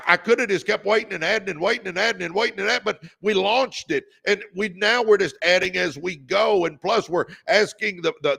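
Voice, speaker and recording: A man in his fifties hosting a live-stream podcast, delivering an energetic, repetitive pep talk in a booming voice. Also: creaky. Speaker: podcast host.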